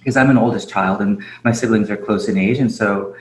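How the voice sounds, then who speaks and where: A man's voice: lowish voice, fairly quick, slight background noise. A man, a podcast guest, talking casually and reflectively with many fillers and easy laughs; he grew up in Japan. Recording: roomy echo.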